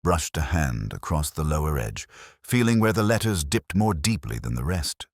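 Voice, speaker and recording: A man playing a melancholy police detective, narrating a mystery in a measured, literary, reflective way. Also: extremely low pitch, very animated, no echo, studio quality, heavily acted. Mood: somber, observant. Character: brooding, melancholy male police detective narrating his case. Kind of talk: scripted first-person mystery narration.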